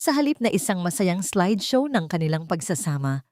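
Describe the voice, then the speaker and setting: Low-pitched woman narrating drama, dry recording. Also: sing-song, a little fast, a little mellow, no room sound. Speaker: story narrator. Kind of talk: scripted dramatic narration of a love and revenge story.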